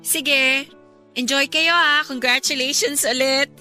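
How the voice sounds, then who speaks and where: Filipino radio romance, female: gentle, weary young ex-girlfriend, mid pitch, very bright tone, hesitant delivery, music underneath. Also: steady tone, takes it slowly, heavily acted. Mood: polite, upbeat. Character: guilt-ridden, weary young woman, gentle former girlfriend.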